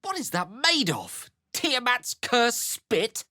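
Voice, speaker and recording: A bright, intense spellcasting adventurer. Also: female voice, fairly high pitch, very animated, slow pace, no room sound, clean studio sound, heavily acted. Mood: indignant. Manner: dramatic, incantatory, clipped exclamations. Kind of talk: video game character lines.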